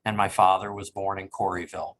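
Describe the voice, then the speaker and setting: Older man in his sixties, low voice, polite and reflective, oral history interviewee. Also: completely flat delivery, a little fast, fairly bright tone, slight background noise. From Cincinnati, Ohio. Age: 60s. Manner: polite, reflective, with frequent ums. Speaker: interviewee, reference librarian.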